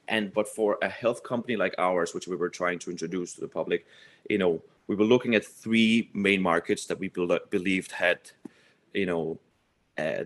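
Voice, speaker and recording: A man, answering interview questions in a loose, conversational way as a company executive. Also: sits low. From Copenhagen, Denmark. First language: Danish. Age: 30s. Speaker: company executive, podcast guest.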